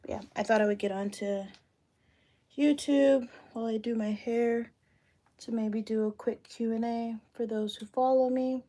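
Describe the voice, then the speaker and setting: A woman in her late thirties chatting casually on a phone livestream while doing her hair, relaxed and thinking aloud. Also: on the high side, measured pace. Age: 30s. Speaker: realtor livestreaming a Q and A. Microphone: phone camera.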